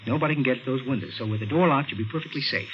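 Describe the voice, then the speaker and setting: A man's voice: medium pitch, rapid-fire, lightly acted. An actor playing a cold murderer posing as a helpful policeman in a 1950s radio thriller, clipped and matter-of-fact. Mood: urgent, reassuring. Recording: muffled, noisy 1950s radio recording.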